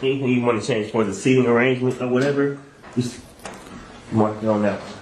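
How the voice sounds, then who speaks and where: A man's voice: mid-range voice, steady tone, fast, darkish tone, slight background noise. An American man questioning someone as a police interrogator, in casual, familiar, colloquial speech. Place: indoor interview room. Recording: echoey interview room recording.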